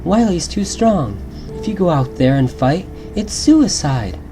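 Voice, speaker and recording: A man's voice: a little high, very animated, measured pace, music in the background, voice pushed up. A man voicing an anxious young robot girl, a worried little sister pleading in a storybook tale.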